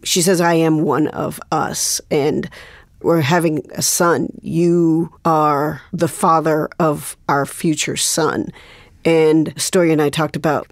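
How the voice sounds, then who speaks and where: Slow, low-pitched woman, deliberate. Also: steady tone, no echo, studio quality. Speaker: actress, interview guest. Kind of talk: reflective interview talk.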